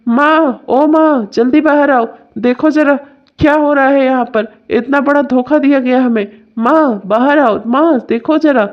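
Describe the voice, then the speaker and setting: A woman's voice: a little high, steady tone, moderate pace, close to an everyday voice. A woman voicing a domineering, complaining young husband in a melodramatic family story. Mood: outraged. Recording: dull, muffled lo-fi sound.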